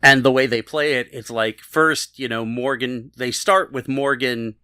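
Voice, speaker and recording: A man co-hosting a podcast, chatting casually and critiquing in a halting, conversational way. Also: medium pitch, fairly even intonation, sharp tone, clean tone, dry recording, clean studio sound. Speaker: podcast co-host. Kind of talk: casual chat, TV episode discussion.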